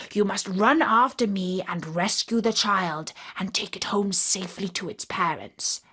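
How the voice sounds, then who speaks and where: Lady doing a wise old-tale boar, slow and sure of himself, giving crafty advice. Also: mid-range voice, fairly bright tone, slightly rough, exaggerated voice. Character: wise wild boar, male, helpful confident schemer.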